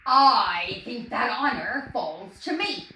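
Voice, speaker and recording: A woman acts out a tiny pirate captain from a children's storybook, proud and commanding. He declaims slowly and heartily, with lively swings in pitch. The room echoes and there is some background noise. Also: heavily acted. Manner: grand, declaiming, roaring orders. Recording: roomy echo, clear background noise.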